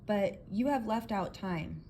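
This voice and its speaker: A woman's voice: medium pitch, expressive, takes it slowly, mellow, voice pushed up. A woman reads as an earnest storybook inventor, a confident man explaining himself to guests. The delivery is emphatic and theatrical, lifted above the narration, with some noise behind it. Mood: earnest, lecturing. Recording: clear background noise.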